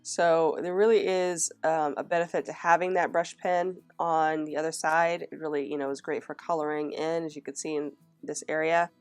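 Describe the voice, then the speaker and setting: Woman, young adult, very low voice, scratchy throat from a cold, chatty planner narration, fillers and restarts. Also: little pitch movement, moderate pace, hardly any pauses, a little mellow, smooth, soft background music. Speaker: planner vlogger. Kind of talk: casual planner flip-through narration.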